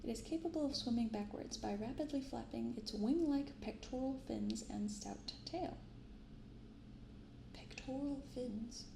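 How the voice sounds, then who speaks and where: Female ASMR host, gentle and casual, gravelly fry, roomy binaural recording with audible noise. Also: on the high side. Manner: soft, gentle, unhurried delivery. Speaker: host of a card-opening ASMR channel. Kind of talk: soft-spoken ASMR, casual commentary. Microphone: binaural microphone. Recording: roomy echo, clearly audible background noise.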